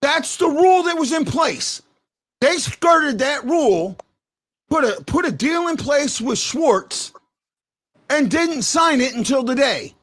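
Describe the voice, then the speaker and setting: A man hosting a fan talk show, loud and excitable, rambling and restarting his sentences as he argues his point. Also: very high voice, slow. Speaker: podcast host. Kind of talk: heated hockey fan call-in talk. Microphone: headphones.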